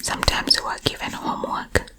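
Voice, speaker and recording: A woman from Uganda telling personal stories in a conversational, rambling ASMR style. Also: fairly quick. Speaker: storyteller recalling her own school days. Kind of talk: whispered ASMR storytime.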